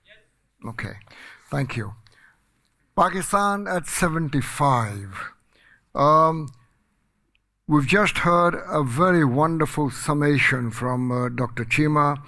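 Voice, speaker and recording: Soft-spoken older guy on a panel, talks slowly, lots of uhs. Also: fairly high pitch. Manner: soft-spoken, hesitant, many fillers. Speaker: panel speaker. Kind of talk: panel talk.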